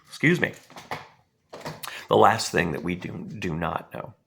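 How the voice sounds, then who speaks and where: A man in his fifties, hoarse from a cold, giving a halting, earnest commentary with many pauses and fillers. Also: on the low side, a bit bright. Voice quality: gravelly and husky. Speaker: response video maker. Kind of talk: casual spoken commentary.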